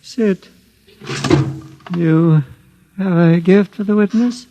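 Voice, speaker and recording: High-pitched, solemn old prophet. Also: male voice, highs cut off, noisy background. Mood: commanding. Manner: slow, incantatory, scripture-like recitation. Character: solemn old male keeper of sacred legend. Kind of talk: 1950s radio drama acting.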